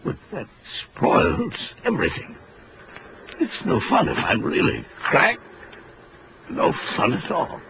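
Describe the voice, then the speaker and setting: A man's voice: on the high side, huge pitch swings, slow, pauses often, heavily acted. An actor playing a florid, unhinged male author in melodramatic 1940s radio style, on a noisy old broadcast. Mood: anxious, dismayed. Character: theatrical, unhinged male author, a guilty culprit.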